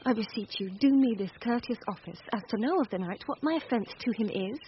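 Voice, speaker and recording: A timid, earnest young woman passing as a gentleman, played by an actress. Her fairly high voice is courteous and anxious, in classical radio drama style. The sound is dull with faint noise. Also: quick pace, natural voice. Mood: anxious, polite. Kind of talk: Shakespearean radio drama acting.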